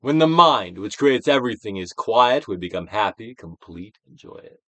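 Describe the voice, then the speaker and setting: A man giving a dramatic, emphatic audiobook reading of a self-help book, moving between loud declarations and hushed phrases. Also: lowish voice, animated, moderate pace, dry recording. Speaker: actor reading a book aloud.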